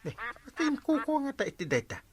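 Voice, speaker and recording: A man's voice: very high-pitched, wildly swooping pitch, a little fast, full character voice. An actor playing a grumbling, admonishing village man in a Filipino radio comedy drama, with an Ilocano accent. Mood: alarmed, grumbling.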